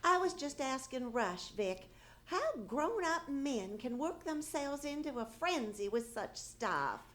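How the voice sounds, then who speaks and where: Nagging, naive housewife and mother played by an actress, very high voice, lively swoops, old-time radio comedy. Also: slow, exaggerated voice. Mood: skeptical, probing. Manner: skeptical, puzzled questioning. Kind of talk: 1940s radio comedy acting, live on stage. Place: live theatre stage.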